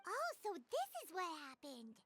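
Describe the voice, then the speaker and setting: A squeaky tiny companion creature. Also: female voice, huge pitch swings, moderate pace, a bit bright, slight background noise, exaggerated voice. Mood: curious. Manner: chirpy, refers to herself by name. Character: tiny floating female companion creature, chatty and curious. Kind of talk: game voice acting. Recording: clear game music behind.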